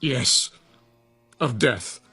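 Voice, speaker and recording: An actor playing an old science professor in a radio drama, sceptical, proud and frightened, with a pointed, challenging manner. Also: male voice, medium pitch, expressive, extremely slow, gravelly, sharp tone, husky, full character voice. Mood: fearful pleading. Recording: radio drama with music underneath.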